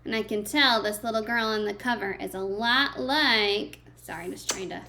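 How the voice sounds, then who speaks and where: A woman's voice: mid-range voice, normal speed, sharp tone, slight background noise. A woman reading a picture book aloud to young children like a teacher, expressively, with simple chatty asides and questions to her listeners.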